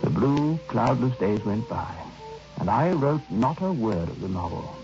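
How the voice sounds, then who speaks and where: An actor playing a reflective male writer, narrating his memories with measured, dramatic pauses in an old radio drama. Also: medium pitch, very animated, vocal fry, over music. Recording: dull old radio recording, faint noise.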